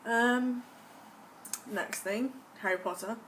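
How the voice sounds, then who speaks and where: A woman's voice: fairly high pitch, slow pace. A young American woman vlogging casually to camera in a put-on British accent, gushing with enthusiasm about things she loves. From United States. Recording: voice a bit distant from the mic, faint background noise.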